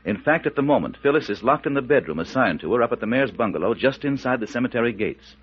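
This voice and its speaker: A male announcer tells a vintage radio mystery with suspense and emphasis. The voice is fairly low, husky and widely inflected, on a muffled old recording. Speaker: announcer and narrator. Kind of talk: dramatic scripted 1940s-style radio serial narration.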